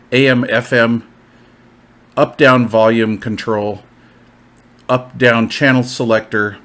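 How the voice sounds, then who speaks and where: A man's voice: medium pitch, fairly bright tone. Plainspoken man presenting a product overview. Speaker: product reviewer presenting gear. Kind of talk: informal product overview, partly listing features.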